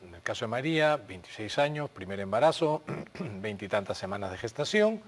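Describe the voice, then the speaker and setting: A man's voice: lowish voice, very animated, a little fast. A man hosting a TV health show, who asks questions and keeps affirming and restating his guest's points. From Peru. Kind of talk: interviewing, brief backchannels.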